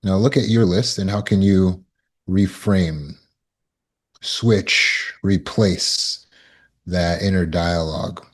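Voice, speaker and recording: Slow, very low-voiced male coach. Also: fairly even intonation. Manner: slow, deliberate coaching with repeated affirmations. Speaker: trauma recovery coach. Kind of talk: self-help teaching talk.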